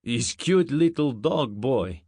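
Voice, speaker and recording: A man's voice: medium pitch, very animated, slow pace, dark tone, husky, dry recording, exaggerated voice. A big, crude Russian guard, with a thick accent. He talks in blunt broken English and spaces out his phrases, jovial and unbothered, recorded close and dry. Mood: amused, jovial.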